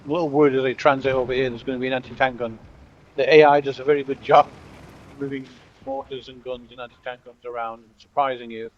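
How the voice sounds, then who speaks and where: A man's voice: medium pitch, quick pace, a little hiss or hum. Wry middle-aged man w/ half british half american accent, chill game commentary, muffled lo-fi audio. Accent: mixed British and American. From Britain. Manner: relaxed, wry, talks to his troops jokingly. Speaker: gameplay commentator. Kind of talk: casual strategy game commentary. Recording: dull lo-fi audio.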